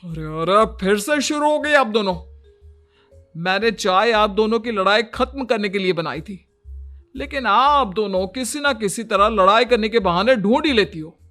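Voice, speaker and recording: A woman's voice: medium pitch, lively intonation, normal speed, fairly bright tone, soft background music, natural voice. A woman voicing a weary, put-upon young husband who pleads and laments in a cartoon moral story. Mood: exasperated.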